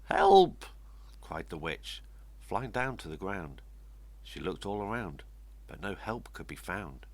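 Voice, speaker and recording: An older man with a gravelly, husky voice reading a rhyming children's story expressively. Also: low-pitched, expressive, unhurried, a bit bright. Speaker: story reader. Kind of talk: expressive read-aloud children's storytelling in rhyme.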